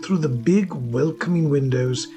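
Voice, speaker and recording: A man's voice: fairly high pitch, a little slow, music in the background. A man reading scripted narration for a fantasy story, as its narrator.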